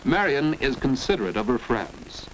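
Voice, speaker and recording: A man's voice: mid-range voice, very animated, moderate pace, a bit bright. A man with an American accent narrating a 1940s instructional film in a precise, didactic manner. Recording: noisy 1940s educational film soundtrack.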